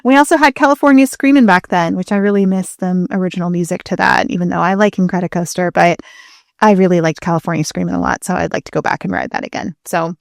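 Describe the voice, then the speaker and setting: A woman's voice: lowish voice, fairly even intonation, fast talker, gravelly, dry recording, clean studio sound. A woman co-hosting a podcast in casual conversation, talking with a fan's enthusiasm and plenty of detail. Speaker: podcast co-host. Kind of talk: casual chat.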